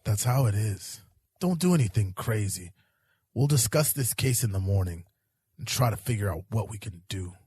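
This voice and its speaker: A man playing a world-weary, cynical police detective in a fan-made sci-fi noir audio drama, terse and deadpan. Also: on the low side, moderate pace, breathy edge, no echo. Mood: weary, cautioning. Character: world-weary hard-boiled male police detective in space.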